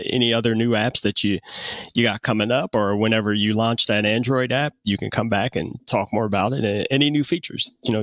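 A man's voice: medium pitch, fairly bright tone, no room sound, clean studio sound. A man hosting a podcast, chatting casually with a guest in a jokey, easygoing way with frequent chuckles. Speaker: podcast host. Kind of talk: casual interview chat.

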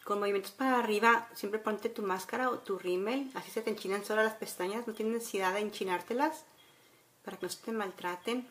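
A woman's voice: medium pitch, fairly quick, a bit bright. A woman in her forties with a Mexican accent, chattily talking viewers through a casual makeup tutorial at home. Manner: instructional, chatty, with frequent checking tags. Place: room at home by a window, indoors.

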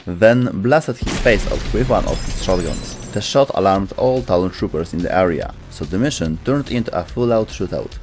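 Male narrator for scripted explainer, lowish register, music bed. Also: a little mellow. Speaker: narrator of a game lore video.

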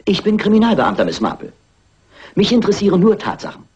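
An actor playing a sceptical, exasperated police inspector in a German crime radio drama, dismissive and emphatic. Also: male voice, high-pitched, huge pitch swings, fast talker, darkish tone, husky, muffled recording, exaggerated voice.

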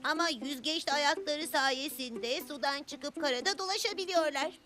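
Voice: high-pitched, normal speed, heavy background noise, lightly acted. An actor voicing a young, cheerful, polite cartoon character who explains things step by step in a children's show. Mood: cheerful explaining. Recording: music playing behind the voice.

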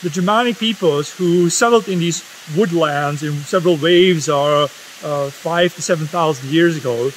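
A man's voice: fairly high pitch, normal speed, slight background noise, low-quality recording. A man in his fifties from the Netherlands, with Dutch as his first language, giving a solemn, impassioned spoken essay outdoors in a forest. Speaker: video essay host. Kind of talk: earnest spoken essay to camera.

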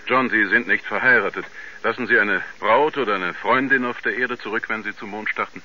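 An actor playing an eager press reporter in a 1970s radio drama, asking questions briskly and formally. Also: male voice, fairly low pitch, bright, forceful tone. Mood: eager, curious. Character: eager male press reporter interviewing an astronaut. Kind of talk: 1970s radio play interview. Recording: dull, band-limited radio recording.

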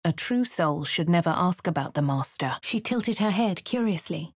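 A woman with a posh English accent voicing a refined young adventurer, crisp and composed in game voice lines. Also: fairly low pitch, animated, moderate pace, dry recording, studio quality. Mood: narrating. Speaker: voice of a player character. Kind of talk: game voice lines, first-person narration.

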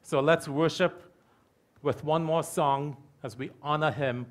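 A middle-aged man preaching a sermon from a church pulpit mic, repeating key phrases slowly for emphasis. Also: fairly high pitch, fairly even intonation. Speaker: pastor. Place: church hall with stage curtains.

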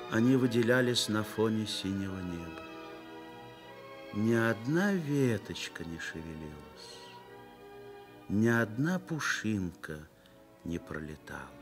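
A man narrating a radio drama in a measured, lyrical literary style. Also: fairly low pitch.

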